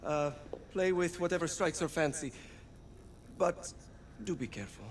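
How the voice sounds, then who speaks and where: An actor playing an eccentric, courteous and proud inventor in a video game, speaking with precise formality. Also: male voice, fairly high pitch, moderate pace, background noise, lightly acted. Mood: polite, preoccupied. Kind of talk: video game cutscene acting.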